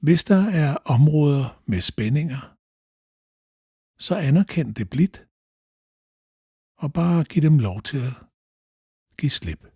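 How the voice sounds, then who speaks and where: A man guides a meditation slowly and calmly, giving gentle instructions with long pauses. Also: a little high, breathy edge, no room sound, clean studio sound.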